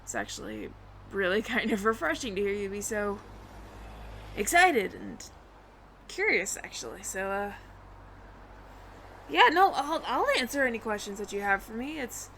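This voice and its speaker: A woman's voice: medium pitch, very animated, moderate pace, long pauses, sharp tone, a little hiss or hum. A woman voicing a friendly, flustered alien roommate who passes as human, speaking to the listener in a hesitant, stammering, earnest ramble in an audio roleplay. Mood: surprised, relieved.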